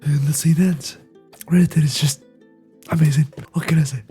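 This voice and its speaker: A host with a raspy, quiet, fragile voice, talking through a game scene in a soft near-whisper with frequent "right?" asides. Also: mid-range voice, fairly even intonation, moderate pace, faint music behind. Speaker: video essay host reacting to a game scene. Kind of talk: casual spoken analysis.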